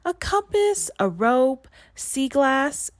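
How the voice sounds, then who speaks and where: A woman's voice: high-pitched, very animated, takes it slowly, no room sound, studio quality, voice pushed up, heavily acted. A woman voicing a young, innocent, wistful little mermaid in a storybook, earnest and a little hesitant.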